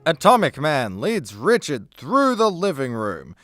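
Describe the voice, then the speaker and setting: A man's voice: fairly high pitch, huge pitch swings, unhurried, fairly bright tone, faint music behind. A man delivering scripted narration as the narrator of a comedy radio play.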